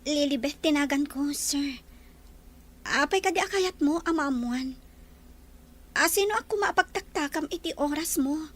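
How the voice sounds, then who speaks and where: Shy young working woman for radio drama, played by an actress: very high voice, humble, hesitant, deferential. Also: measured pace, long pauses, a bit bright. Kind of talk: Ilocano radio drama acting.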